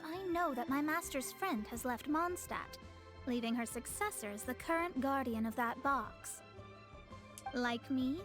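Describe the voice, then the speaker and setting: An actress voicing a proud young female astrologer in a game, speaking formally and with lofty self-assurance over faint game music. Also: high-pitched. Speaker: game character. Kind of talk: dubbed game dialogue.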